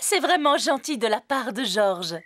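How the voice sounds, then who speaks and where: A woman voicing a gentle, patient rabbit mother in a French children's cartoon dub, speaking coaxingly. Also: fairly high pitch, lively intonation, unhurried, slight background noise.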